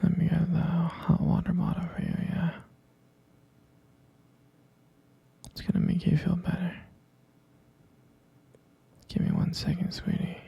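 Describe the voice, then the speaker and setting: A man playing a tender, devoted boyfriend in an intimate ASMR roleplay, speaking in a breathy, husky whisper and lingering dotingly over his words. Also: fairly high pitch, completely flat delivery, moderate pace, long pauses, dry recording, muffled recording, full character voice. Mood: tender, caring.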